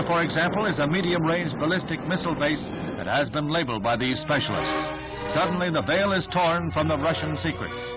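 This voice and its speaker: An American man reading scripted 1960s newsreel narration in a formal, dramatic, declamatory manner. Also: a little high. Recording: dull vintage 1960s newsreel soundtrack. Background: loud orchestral newsreel music.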